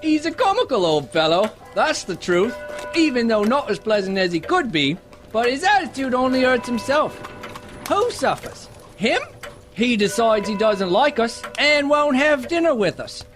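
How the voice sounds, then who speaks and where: An actor playing a good-natured, forgiving young gentleman in an audio drama, cheery and animated. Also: very high-pitched, moderate pace, faint music behind, full character voice. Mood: amused, forgiving.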